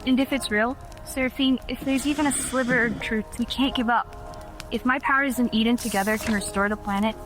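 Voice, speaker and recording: Casting: woman as hopeful, unsure young heroine with powers, audio drama, highish voice over music. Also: fairly even intonation, fairly quick, lightly acted, poor audio. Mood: earnest. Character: earnest young woman with powers, self-doubting but hopeful.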